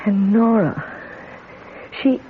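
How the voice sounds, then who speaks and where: A woman's voice: mid-range voice, slow pace, phone-quality sound, natural voice. An actress playing a shaken, fragile young woman in a radio mystery, speaking falteringly. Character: shaken, tender young niece, intended murder victim. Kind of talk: radio mystery drama acting. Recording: thin old radio recording, faint hiss.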